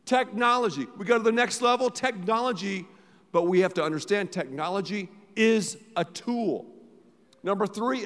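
A middle-aged pastor preaching a sermon, emphatic, repeating phrases, swinging between loud and quiet. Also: male voice, sits high. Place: large church auditorium. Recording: long hall reverb.

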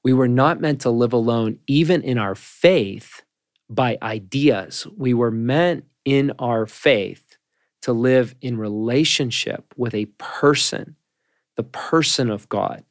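A man's voice: medium pitch, slow pace, dry recording, clean studio sound. Earnest man with a preacherly cadence. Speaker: podcast co-host. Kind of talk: reflective faith conversation.

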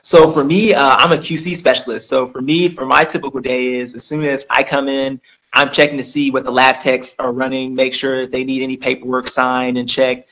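An upbeat young man from Georgia talks casually about his work, filling his sentences with "um" and "like". His voice sits at an everyday middle pitch for a man. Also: level tone, brisk, fairly bright tone, low-quality recording. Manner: upbeat, lots of fillers like "um" and "like", laughs often. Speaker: lab quality control specialist, guest. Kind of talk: casual interview answers.

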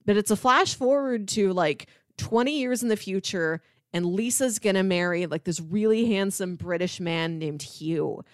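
A woman's voice: medium pitch, normal speed, few pauses, no room sound, studio quality. A woman co-hosting a casual podcast, explaining things informally with many asides. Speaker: podcast co-host. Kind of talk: casual chat about sitcom episodes.

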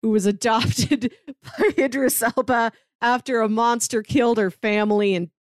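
A woman's voice: fairly high pitch, steady tone, normal speed, no echo, studio quality. A woman podcast co-host talking casually and enthusiastically, in a chatty way. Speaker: podcast co-host pitching movies. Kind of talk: casual chat.

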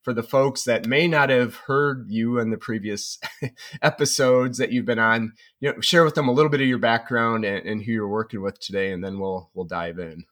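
A man's voice: medium pitch, fairly even intonation, moderate pace, a bit bright. A man hosting a podcast who talks in long, rambling runs, repeats words and fills the gaps with "you know". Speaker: podcast host. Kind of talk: casual interview talk.

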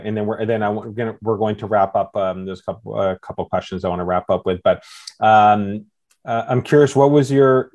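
A man's voice: on the low side, a little mellow. A man hosting a casual business interview, asking questions in a friendly way with short encouraging replies. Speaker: host.

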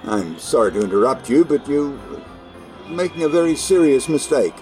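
An older man playing a merry, dignified old gentleman in a radio drama, speaking formally and earnestly with an admonishing streak. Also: fairly high pitch, sing-song, moderate pace, soft background music. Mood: polite, fussy.

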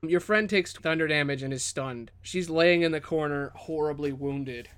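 A man with a slightly high voice narrates a tabletop fantasy game as game master. His delivery is casual and improvised, full of vivid description and informal swearing. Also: normal speed, a bit bright, clean tone.

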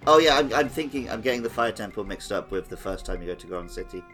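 Low-pitched British man in casual chat. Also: lively intonation, quick pace. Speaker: podcast co-host. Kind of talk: casual game discussion chat. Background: background music.

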